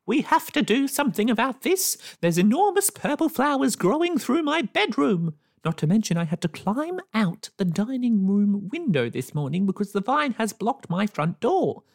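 A man voicing a frantic, fussy older woman neighbour in a storybook, talking in a shrill, agitated way. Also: big pitch swings, normal speed, vocal fry, hardly any pauses, heavily acted.

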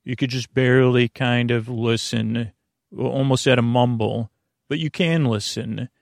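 A man hosting a sleep podcast in a meandering, halting monologue, often losing his thread. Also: medium pitch, fairly even intonation, vocal fry, dry recording, studio quality. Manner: meandering, halting, loses his train of thought. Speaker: podcast host.

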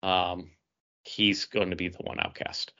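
A man with a fairly low voice talks in a loose, conversational review style. He halts and searches for his words as he goes. The sound is slightly dull and lo-fi. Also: flat delivery, measured pace, bright, forceful tone. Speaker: podcast co-host. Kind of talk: casual review chat.

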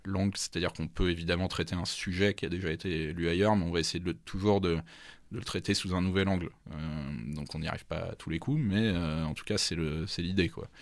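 Deep-voiced hesitant man, dry sound. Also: fairly even intonation, a little fast, dry recording. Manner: hesitant, many fillers and restarts. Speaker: magazine editor-in-chief, guest. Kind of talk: casual radio interview.

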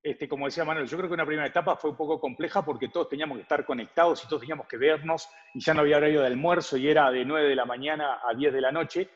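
A man's voice: a little high, steady tone, rapid-fire, few pauses. A man answering interview questions in a conversational way, as a business guest. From Uruguay. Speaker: advertising agency partner, interview guest. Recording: dull audio with long reverb.

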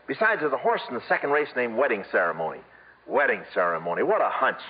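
An actor playing a wheedling, wisecracking small-time racetrack hustler in 1950s radio comedy style. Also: male voice, mid-range voice, sing-song, quick pace, close to an everyday voice. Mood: smooth-talking. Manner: glib, wisecracking patter. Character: fast-talking, wheedling small-time racetrack con man. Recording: muffled, noisy old radio broadcast.

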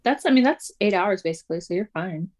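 A woman co-hosting a casual podcast, talking fast and loosely with lots of "like" and frequent laughs. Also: medium pitch, expressive. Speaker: podcast co-host. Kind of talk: casual chat.

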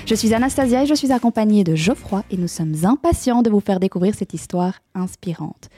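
A woman hosting a podcast, reading a scripted introduction smoothly and asking interview questions. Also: mid-range voice, fairly quick, dark tone, faint music behind. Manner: smooth, read-aloud delivery. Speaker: podcast host.

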